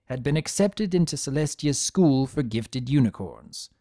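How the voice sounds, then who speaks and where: A narrator giving an expressive dramatic reading of a scripted story. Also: mid-range voice, a little slow, no echo, studio quality. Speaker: narrator of a fan fiction reading. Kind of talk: dramatic scripted narration in first-person character.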